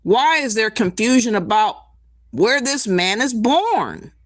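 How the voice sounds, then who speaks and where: A woman's voice: fairly high pitch, very animated, no room sound, clean studio sound. A woman hosting a reaction commentary, talking in a blunt, indignant way and stopping to cue up clips. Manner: blunt, indignant, slow deliberate delivery. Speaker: commentary channel host.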